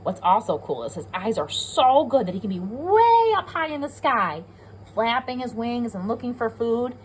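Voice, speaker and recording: A woman's voice: a little high, a little hiss or hum, poor audio. A woman with an American accent reading a picture book aloud to young children, explaining simply and asking them questions as a pre-K teacher.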